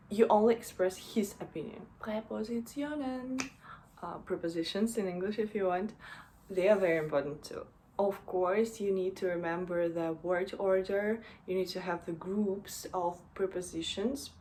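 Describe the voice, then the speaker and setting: Unhurried woman. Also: medium pitch, darkish tone, slight background noise. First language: Russian. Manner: unhurried, with fillers and frequent "right?". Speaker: German language teacher. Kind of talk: casual explainer lesson.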